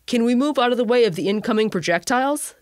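A woman's voice: a little high, expressive, fast, darkish tone, dry recording, clean studio sound, pitched above the performer's own voice, lightly acted. A woman voicing a brash, gluttonous female starship captain in a sci-fi audio story. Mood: confused, urgent.

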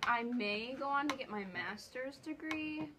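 A woman's voice: sits high, measured pace. Casual eighteen-year-old woman, noisy room. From Ithaca, New York. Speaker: interviewee. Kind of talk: casual interview answers.

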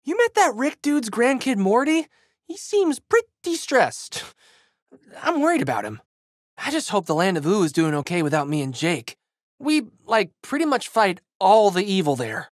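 A man's voice: high voice, huge pitch swings, moderate pace, dry recording, studio quality. A man voicing a plucky, goofy teenage boy hero with slangy, exuberant delivery for a video game. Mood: casual, musing. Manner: exuberant, slangy surfer-dude boyishness. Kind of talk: video game character voice lines.

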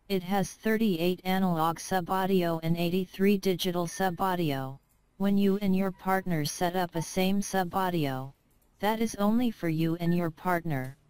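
A woman's voice: on the low side, a little mellow, clear, resonant tone, no room sound. A woman reading a scripted product narration, with careful, non-native English phrasing. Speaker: product presenter.

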